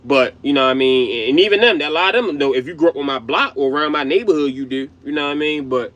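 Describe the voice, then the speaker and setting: A man's voice: a little high, expressive, fast, a bit bright. Slangy young Baltimore man rambling. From Baltimore, USA. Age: young adult. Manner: loose slangy rambling, frequent "you know what I mean"; profane, emphatic. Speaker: channel host. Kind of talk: casual commentary monologue.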